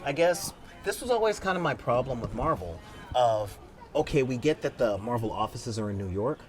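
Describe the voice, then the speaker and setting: A man talking casually on a fan panel, opinionated and lively, acting out quotes as he argues his points. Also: mid-range voice, normal speed. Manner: opinionated, animated, acts out quotes. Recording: convention panel with crowd noise.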